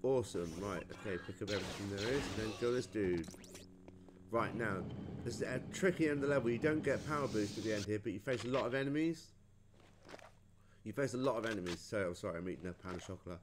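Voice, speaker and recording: A man's voice: on the low side, fast talker. A man giving casual, chatty commentary as he plays through a video game. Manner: chatty, informal. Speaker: gamer, playthrough host. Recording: noisy home game commentary recording.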